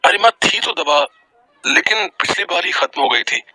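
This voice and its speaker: Man as ailing young son, storybook figure, weak, suffering, high-pitched, dry recording. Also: very animated, moderate pace, creaky, pushed and bright, dry recording, full character voice, low-quality recording. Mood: weary, aching. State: ill, struggling to breathe.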